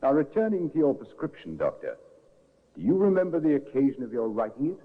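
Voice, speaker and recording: An actor playing a prosecuting barrister who questions witnesses formally in a 1950s radio drama. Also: male voice, mid-range voice, sing-song, brisk, close to an everyday voice. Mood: formal questioning. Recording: tinny old radio broadcast with reverb.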